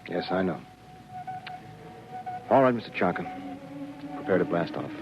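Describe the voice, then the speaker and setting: Deep-voiced calm rocket captain. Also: male voice, unhurried, loud music behind the voice. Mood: calm, commanding. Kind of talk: 1950s radio drama acting. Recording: muffled vintage radio, loud rumbling noise.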